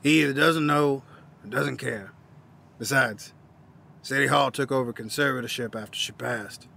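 A man playing a weary, proud old war veteran, gruff, wry and folksy, in an audio drama. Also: medium pitch, normal speed, sharp tone. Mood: weary, reluctant. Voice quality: gravelly. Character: weary, proud old male Vietnam veteran down on his luck.

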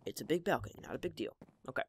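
Hoarse teenage boy with voice cracks. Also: medium pitch, expressive, racing, a little hiss or hum. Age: teens. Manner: rambling, chatty, self-deprecating. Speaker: Minecraft gameplay channel host. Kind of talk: casual gameplay commentary.

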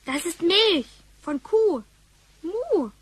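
Very high, bossy little kid. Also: female voice, lively intonation, extremely slow, exaggerated voice. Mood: exasperated. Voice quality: childlike. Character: bossy, lively little present-day child. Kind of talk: German radio play acting.

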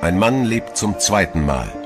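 Deep, slow, portentous male announcer. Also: sing-song. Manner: solemn, portentous. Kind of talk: portentous series intro narration. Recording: music behind the voice.

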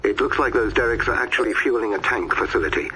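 An actor playing a terse, commanding military officer, giving firm, clipped orders over a game radio. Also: male voice, mid-range voice, fast talker, bright, forceful tone. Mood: firm, urgent. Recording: noisy radio with battle ambience.

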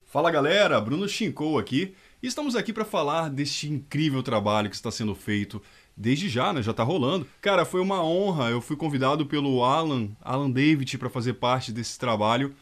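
A man, a fan dub voice actor chatting in a lively, nostalgic way to camera. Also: mid-range voice, normal speed. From Rio de Janeiro state, Brazil. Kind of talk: casual nostalgic testimonial. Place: dark room indoors.

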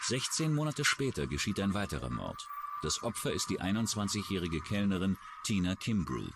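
A man's voice: low-pitched, big pitch swings, normal speed. A man narrating a true-crime documentary in a scripted style. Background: background music.

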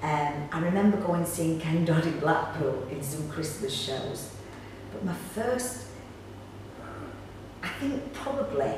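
A middle-aged woman, chatting informally in a casual interview. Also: sits low. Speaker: pantomime actor. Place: small indoor room, seated on sofa. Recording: echoey room, distant mic, faint noise.

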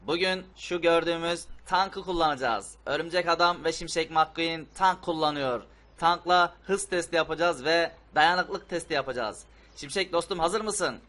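A man's voice: on the high side, moderate pace. A man doing playful, exclamatory play-acting commentary on a video game for a children's channel. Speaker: host of children's gaming channel. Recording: loud vehicle noise behind voice. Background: game engine and vehicle sounds.

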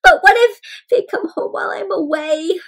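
A woman's voice: extremely high pitch, normal speed, vocal fry, a bit bright, pitched above the performer's own voice, heavily acted. A woman voicing an anxious little egg boy in a picture book, frantic and exclaiming. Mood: panicked.

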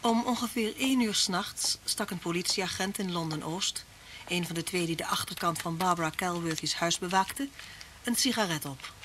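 An actress playing a thoughtful, earnest detective's wife in a vintage radio drama. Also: female voice, on the low side, moderate pace, natural voice. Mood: narrating. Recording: slightly noisy old radio recording.

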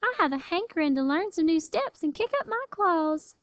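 Lady doing a tiny sassy armadillo girl, super high n perky, bold folksy kids story voice. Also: animated, normal speed, no room sound, dull audio. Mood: eager, bold. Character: small, sassy, bold young female armadillo.

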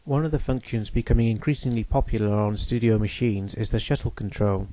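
A man giving a measured, technical demonstration of a studio machine. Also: on the low side, mellow. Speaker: equipment demonstrator. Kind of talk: explanatory voice-over demonstration.

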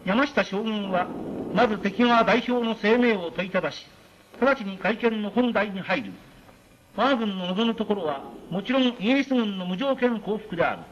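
A man narrating a 1940s newsreel in a solemn, measured, declamatory delivery, on a scratchy old soundtrack. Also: high-pitched, dull audio.

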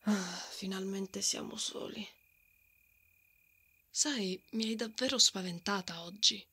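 A woman's voice: mid-range voice, slow pace, bright, forceful tone, slightly rough, exaggerated voice. A woman playing a brave, stubborn and protective female knight in a fantasy ASMR roleplay. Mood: tender, vulnerable. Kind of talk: ASMR romantic fantasy roleplay, acted monologue.

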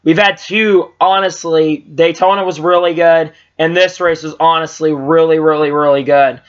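A man's voice: fairly high pitch, normal speed, clear, resonant tone. Young man rambling through a race recap. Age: young adult. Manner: rambling, lots of fillers and restarts, gets excited. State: voice a bit strained. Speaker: vlogger reviewing races. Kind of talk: casual race recap vlog. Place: small room indoors. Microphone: webcam at low angle. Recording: slightly dull lo-fi webcam audio.

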